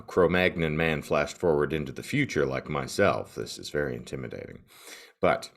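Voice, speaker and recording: A man with a gravelly morning voice hosting a solo podcast, talking and reading aloud in a wordy, florid, tongue-in-cheek manner. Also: a bass voice. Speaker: podcast co-host. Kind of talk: solo podcast monologue, comic reading of reviews.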